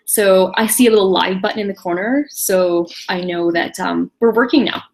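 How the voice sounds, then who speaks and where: A woman in her late thirties hosting a casual online webinar alone, chatty and self-deprecating, with quick laughs and apologies. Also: mid-range voice, vocal fry, darkish tone, a little hiss or hum. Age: 30s. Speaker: webinar host. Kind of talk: casual solo webinar presentation.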